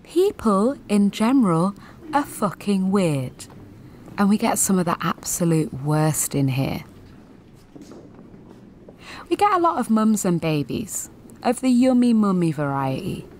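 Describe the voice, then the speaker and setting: A woman's voice: mid-range voice, sing-song, a little slow, pauses often, darkish tone, a little hiss or hum. An actress in her twenties playing a jaded, sweary, sensitive gallery attendant in a confessional audio drama monologue, wry and self-deprecating. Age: 20s.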